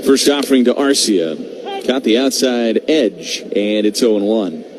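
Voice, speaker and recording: A man doing live baseball radio play-by-play in measured calls with pauses between them. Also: lowish voice. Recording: noisy live radio broadcast.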